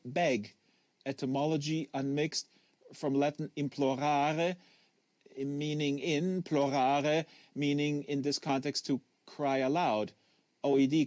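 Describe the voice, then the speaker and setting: A man's voice: mid-range voice, a little mellow, no room sound, natural voice. A man reads as a precocious young boy in a literary audiobook. He is wary and literal, stumbling over some words and exact to the point of pedantry. The sound is close and dry. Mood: pedantic. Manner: hesitant, stammering, pedantically exact. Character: precocious, wary, literal-minded ten-year-old tennis prodigy boy.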